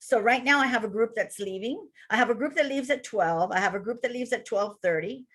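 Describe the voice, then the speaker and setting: A woman with a Cuban accent, a childcare center director giving an energetic webinar presentation to attendees over a video call. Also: fairly high pitch, a little fast, breathy edge. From Cuba.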